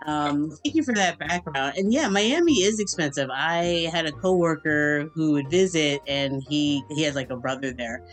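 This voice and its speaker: Female podcast host, very low voice, friendly and chatty, music underneath. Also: moderate pace, hardly any pauses. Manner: friendly, chatty, uses "like" a lot. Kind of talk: casual interview chat.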